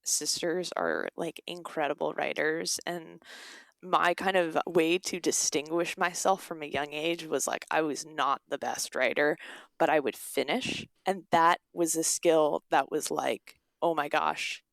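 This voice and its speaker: Female, young adult, podcast guest. Informal, gravelly edges, dry sound. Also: sits low, fairly even intonation, measured pace, a bit bright, dry recording. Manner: informal, peppered with "like" and quoted asides. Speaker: guest, author. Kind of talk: casual interview conversation.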